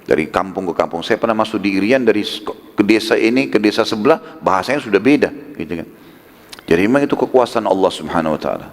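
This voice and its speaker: A man's voice: lowish voice, animated, fast talker. A man preaching a religious lecture, conversational and emphatic, with rhetorical questions and casual asides. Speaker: Islamic preacher. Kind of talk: religious lecture retelling prophetic history. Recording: long hall reverb.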